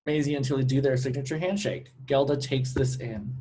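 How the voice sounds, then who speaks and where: A man with a mid-pitched voice reads stage directions aloud. It is scripted narration for a cast read-through. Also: brisk, dark tone, breathy edge.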